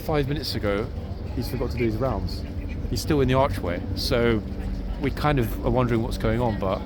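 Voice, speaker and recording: A man with an ordinary middle-pitched voice chats outdoors with a passer-by and his camera. He leans on repeated words to stress his points. Loud street noise and passing vehicles surround him. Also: fairly quick. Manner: chatty, emphatic repeats like "very, very rare". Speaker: vlogger filming a ceremony. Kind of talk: casual chat to a viewer and camera. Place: outdoors at a busy city parade ground.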